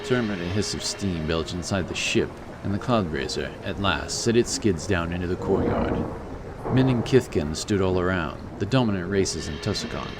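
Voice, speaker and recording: Low-voiced man narrating fantasy. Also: expressive, moderate pace, very noisy. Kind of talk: scripted fantasy audiobook narration.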